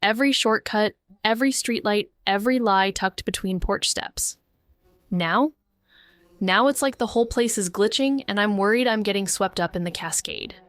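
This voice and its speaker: A woman's voice: medium pitch, moderate pace, dry recording. A woman narrating a noir audio drama in the first person, tense and wry with a brooding edge. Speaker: narrator and lead.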